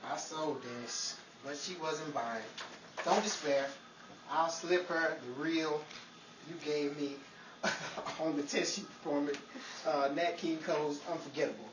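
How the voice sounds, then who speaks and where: A man's voice: on the high side, measured pace, recorded from a distance, lightly acted. Man playing chummy, wry husband for retro radio comedy; noisy recording, off-mic. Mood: chummy, dry. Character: affable, dryly witty husband and radio man. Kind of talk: old-time radio comedy acting. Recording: echoey, noisy room, off-mic.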